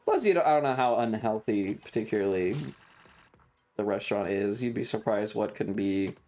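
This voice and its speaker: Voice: medium pitch, animated, moderate pace, darkish tone. A streamer chatting casually and playfully while gaming, rambling and mock-grumbling, with game music behind. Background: video game music.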